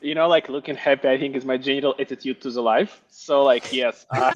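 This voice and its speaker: A man's voice: medium pitch, level tone, fairly quick. A man in his thirties with a Russian accent, a recent MBA graduate, talking cheerfully with many "like" fillers on a video call. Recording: dull lo-fi sound.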